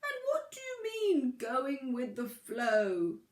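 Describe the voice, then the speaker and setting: Storybook whale, played by a woman, enormous and gentle, booming out long slow words in an echoey room. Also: wildly swooping pitch, full character voice. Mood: puzzled. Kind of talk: children's storybook character voice.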